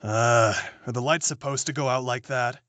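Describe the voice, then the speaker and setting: A man's voice: medium pitch, normal speed, fairly bright tone, dry recording, lightly acted. A man playing a dry, steadying companion on the run in an audio drama. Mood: dry, wary.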